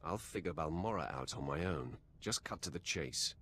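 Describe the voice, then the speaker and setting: Game casting: haughty, menacing warrior, deep register, curt delivery, faint noise. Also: male voice, expressive, moderate pace, a bit bright. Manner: blunt, haughty. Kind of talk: cinematic video game voice acting.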